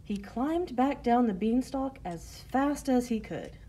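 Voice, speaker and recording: A woman storyteller reading a scripted children's tale in measured phrases. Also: on the high side, lively intonation, mellow, slight background noise.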